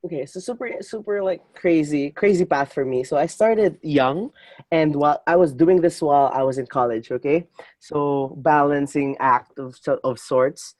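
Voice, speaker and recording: A man in his twenties from the Philippines, a podcast guest telling his story in lively casual talk full of fillers and restarts. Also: on the high side, normal speed, no room sound. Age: 20s. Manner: energetic, many fillers and restarts, laughs often. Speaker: podcast guest, digital marketer. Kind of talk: casual interview chat.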